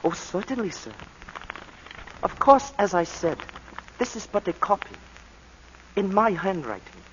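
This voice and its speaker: An obsequious, sly clerk. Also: male voice, high-pitched, sing-song, fairly bright tone, slight background noise, heavily acted. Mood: insinuating. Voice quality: deferential, measured, sir-laden. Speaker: law clerk. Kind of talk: 1940s radio acting. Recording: muffled 1940s radio broadcast.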